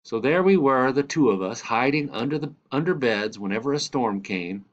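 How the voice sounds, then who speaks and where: Storybook grandfather, played by a male reader: candid, wry, fond, emphatic delivery lifted in pitch, slightly lo-fi sound. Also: lively intonation, normal speed, natural voice. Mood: dramatic, reminiscing.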